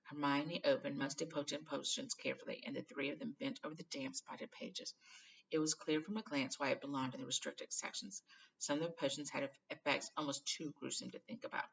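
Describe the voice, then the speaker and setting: A woman narrates a children's novel expressively in a low voice with a creaky edge. Her delivery is bright, and the home recording sounds slightly dull. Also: steady tone, a little fast. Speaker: storybook reader.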